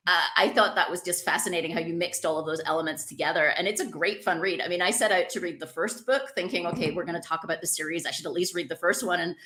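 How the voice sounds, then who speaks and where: A woman's voice: fairly low pitch, steady tone, quick pace, few pauses, sharp tone. A woman hosting a casual book interview podcast, speaking with effusive enthusiasm. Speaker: podcast host interviewing an author.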